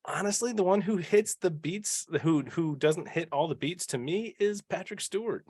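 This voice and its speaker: A man's voice: high voice, moderate pace, a little mellow, breathy edge, no room sound. A man hosting a casual panel discussion, giving his opinions with emphasis. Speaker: podcast panel host.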